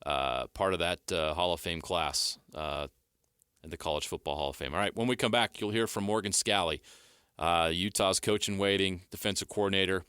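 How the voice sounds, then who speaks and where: A man hosting a sports radio show, talking briskly and leading the segment. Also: sits low, pushed and bright, clean tone, dry recording, studio quality. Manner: brisk, upbeat, leads the segment.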